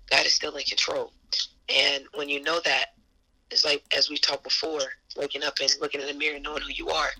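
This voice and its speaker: A hoarse woman, an assistant basketball coach, answering interview questions earnestly and repeating phrases for emphasis. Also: deep voice, sharp tone, poor audio.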